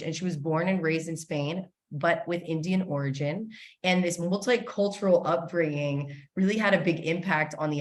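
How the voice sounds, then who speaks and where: A woman hosting a live online summit in a chatty, gushing, informal way. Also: sits low. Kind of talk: casual live interview hosting. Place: indoors, online video call.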